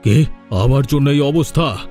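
Bengali cartoon family man voiced by a man, stern and dramatic, soft dark tone, music low behind. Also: a little slow, natural voice. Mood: angry. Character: stern, emotional men of a feuding village family.

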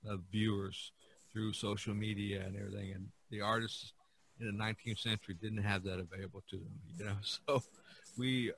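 A man's voice: on the low side, level tone, brisk, vocal fry, a bit bright, a little hiss or hum. A man hosting a podcast, chatting casually in a rambling, emphatic way. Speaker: podcast host.

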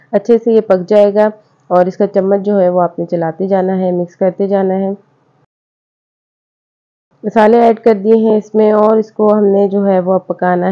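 A woman narrates a cooking video over the footage, friendly and patient. Her mid-range voice runs through each step in a chatty, repetitive home-cook way. The recording sounds a little dull and lo-fi. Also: mid-range voice. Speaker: cooking channel host. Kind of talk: voice-over recipe instructions.

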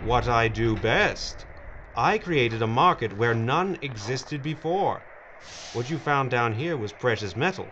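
A man's voice: medium pitch, lively intonation, a little slow, fairly bright tone. Villain: smug greedy madman played by a man, drawling and theatrical, slightly muffled with noise behind. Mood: gloating. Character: gleeful mad villain, smug greedy schemer. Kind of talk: audio drama acting.

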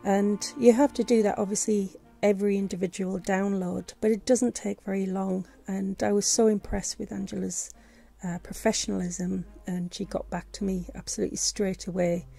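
Woman, losing her voice, gravelly, mellow, hesitant fillers, faint background music. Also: medium pitch, little pitch movement, moderate pace, few pauses. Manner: hesitant, many fillers and restarts. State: losing her voice, sore throat. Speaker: vlogger. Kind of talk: casual screen-recorded tutorial. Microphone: voice-over on screen recording.

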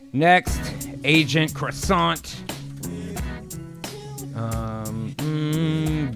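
A man with a slightly high voice hosts in a loose, profane, halting way, as if writing while he talks. Music plays clearly behind him, and the delivery stays conversational. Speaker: host of improv writing show. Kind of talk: casual banter, reading out as he types. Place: indoor home streaming setup.

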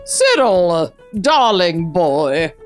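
An actress playing an imperious, pompous aristocratic matriarch in a comedy radio play. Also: female voice, fairly low pitch, big pitch swings, slow, faint music behind. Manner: pompous, declamatory.

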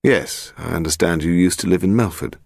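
A British man voicing a polite, inquisitive gentleman detective in an audiobook. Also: deep voice, a little fast, creaky, no echo, clean studio sound. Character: courteous, probing gentleman detective-novelist.

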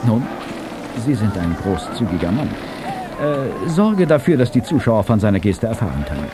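A man's voice: lowish voice, sing-song, moderate pace, over music. An actor playing a condescending businessman in an audio drama. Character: smooth, condescending businessman hosting a charity race.

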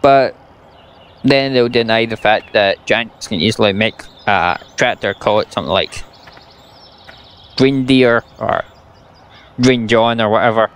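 A man's voice: on the low side, measured pace, long pauses, fairly bright tone, slight background noise. A young Scottish man giving blunt, sarcastic gameplay commentary and ranting about a farming game. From Scotland. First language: English. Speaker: gaming YouTuber.

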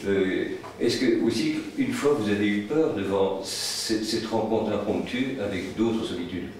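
A man with a low voice puts a long question at a book event. He is hesitant, polite and wordy, circling toward his point. He sounds distant in a very echoey room. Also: measured pace, a little mellow. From France, Paris area. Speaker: audience questioner, social scientist. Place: indoor meeting room at a public book event. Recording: very echoey room, distant mic, faint noise.

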